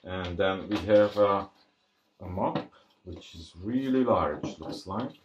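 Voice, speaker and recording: A man giving casual, unscripted unboxing commentary, thinking aloud in a halting way as he presents. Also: low voice, expressive, muffled recording, a little hiss or hum. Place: indoors at a tabletop. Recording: roomy, echoey sound.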